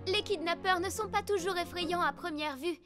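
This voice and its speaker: Kind teacher, clear and instructive, high voice, music behind. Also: female voice, normal speed. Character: patient female schoolteacher. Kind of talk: French cartoon dub.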